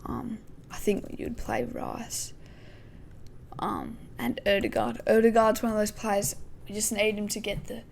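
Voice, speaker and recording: A woman hosting a casual football podcast, her voice croaky, thinking aloud with many hesitations. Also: mid-range voice, little pitch movement, unhurried. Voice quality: gravelly. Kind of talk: casual pre-match football talk.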